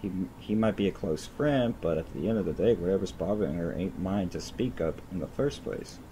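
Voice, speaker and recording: A deep-voiced man reads game narration aloud as a streamer. He trips over and repeats phrases as he goes, with faint noise behind him. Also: expressive, a little fast, dark tone, low-quality recording. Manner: stumbles and repeats words while reading.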